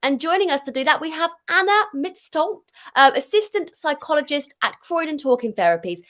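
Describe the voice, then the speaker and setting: High-pitched young female host, chatty and jokey, webinar on a video call. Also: expressive, a little fast, fairly bright tone. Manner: chatty, friendly, jokey asides. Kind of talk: upbeat scripted webinar hosting.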